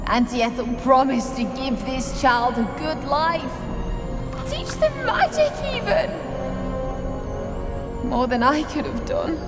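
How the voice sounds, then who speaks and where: An actress playing a bitter, grieving young widow in a video game, accusing and anguished. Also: female voice, high-pitched, animated, slow pace, long pauses. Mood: tearful, defensive. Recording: echoey reverberant space with music behind.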